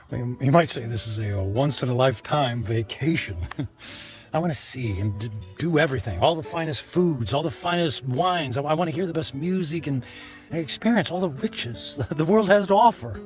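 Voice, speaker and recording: Actor as animated, high-voiced self-absorbed businessman. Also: normal speed, close to an everyday voice. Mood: eager, flirtatious. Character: self-absorbed rich businessman on a last reckless spree. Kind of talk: radio drama acting. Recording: music behind the voice.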